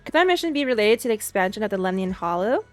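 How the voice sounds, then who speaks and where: A woman's voice: mid-range voice, sing-song, rapid-fire. A woman streamer giving casual game reaction commentary and reading dialogue aloud, with blunt, sarcastic asides.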